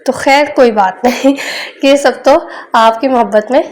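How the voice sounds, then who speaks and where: A chatty young woman narrates her day in a relaxed vlog voice-over. Her voice sits a little high, and her homely, rambling delivery leans on repeated filler phrases. A sore throat gives her a slight rough edge. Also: measured pace. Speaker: vlogger.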